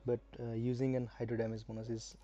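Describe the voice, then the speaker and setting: A male live streamer with a Nepali accent and a dark, mellow, mid-pitched voice. He gives casual gaming commentary in a halting way, thinking aloud as he talks to viewers. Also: completely flat delivery, dull audio.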